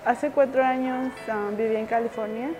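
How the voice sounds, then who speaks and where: A woman with a Mexican accent, calmly telling why her family moved. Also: fairly high pitch, fairly quick, rough recording. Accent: Mexican Spanish. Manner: calm, flat, with Spanish fillers. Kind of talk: personal interview testimony. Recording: music bed under the voice.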